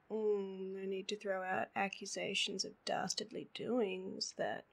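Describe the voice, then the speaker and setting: Woman acting a husky, very slow Australian sleepy girlfriend. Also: medium pitch, level tone, heavily acted. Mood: teasing. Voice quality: husky, breathy. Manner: drowsy, murmuring, cooing. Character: sleepy, needy, silly, affectionate girlfriend. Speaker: voice actor. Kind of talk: intimate roleplay audio, ASMR.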